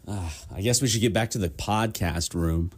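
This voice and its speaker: A man's voice: sits low, moderate pace, a little hiss or hum. A man co-hosting a casual podcast, chatty and teasing. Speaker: podcast co-host.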